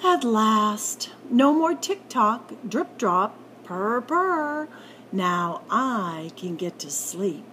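A woman's voice: a little high, very animated, drawn-out words, a bit bright, slight background noise, heavily acted. A woman voicing a fussy, sleepless male rabbit in a children's storybook, with whiny, dramatic delivery. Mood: relieved.

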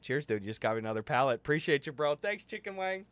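Higher-voiced young man, animated streamer. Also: fast talker, no echo. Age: young adult. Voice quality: tired, slightly worn-down voice. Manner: slangy, jokey, profane, often says "dude" and "bro". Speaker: livestream host. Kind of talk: casual gaming stream chat, reading out viewer messages. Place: indoor room at a streaming setup.

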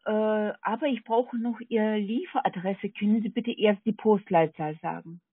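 A non-native speaking woman with a foreign accent, working as a call center agent, giving a halting, repetitive, polite sales pitch over the phone. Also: breathy edge, no room sound. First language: not German. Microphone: telephone. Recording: tinny, narrowband phone line.

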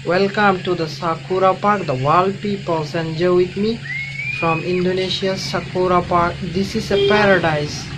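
A man's voice: high-pitched, steady tone. Effusive Bangladeshi man, noisy recording. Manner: effusive, repetitive, halting phrases. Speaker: reaction vlogger. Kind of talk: excited reaction commentary.

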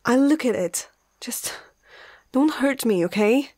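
A woman acting out a young female vet in an ASMR fantasy roleplay. She speaks slowly and hesitantly in a husky, breathy voice that often slips toward a whisper. She is nervous yet caring and firm, heard close up with a dry sound. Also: a little high, expressive, darkish tone, no room sound. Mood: scared, hesitant. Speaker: amateur ASMR roleplay performer.

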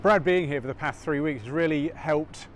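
A man with a fairly high voice explains things steadily in an interview. He stands outdoors in a city, miked on his lapel, with faint urban ambience behind him. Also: moderate pace, a little hiss or hum. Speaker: professor. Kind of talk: casual interview.

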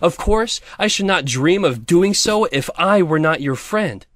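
An actor playing a pompous, self-righteous, grasping miller in an audio storybook drama, preachy in manner. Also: male voice, a little high, animated, measured pace, a bit bright, no room sound, studio quality. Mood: scolding, preachy. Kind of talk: audio theatre storybook acting.

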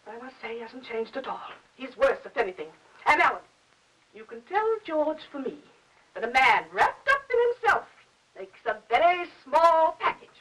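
Casting: garrulous middle-aged American auntie, high bright voice, scolding manner, old hissy film soundtrack. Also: female voice, sing-song, normal speed, full character voice, rough recording. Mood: indignant. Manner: chatty, fussy, scolding. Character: fussy, garrulous, easily offended middle-aged aunt. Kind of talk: 1950s Hollywood film acting.

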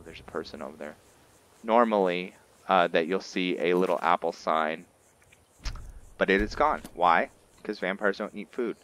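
A man's voice: low-pitched, fairly bright tone. A man giving casual commentary on his roleplay playthrough, explaining things to viewers and often answering his own questions. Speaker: gamer narrating a roleplay playthrough.

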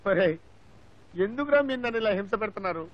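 An actor playing a whining, quick-tempered, hard-drinking bus conductor in a film comedy. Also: male voice, sits high, lively intonation. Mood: exasperated, whining. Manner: whining, loud, pleading. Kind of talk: broad film comedy acting. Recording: dull old film soundtrack, music.

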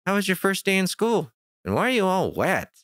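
A woman's voice: mid-range voice, sing-song, a little fast, no room sound, clean studio sound, close to an everyday voice. A woman playing a cocky, teasing older brother, a farm boy in an audio drama.

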